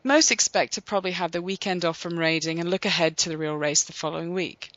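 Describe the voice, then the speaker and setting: A podcast host reading a scripted recap in a steady, measured way, then introducing guests. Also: medium pitch, no room sound, muffled recording.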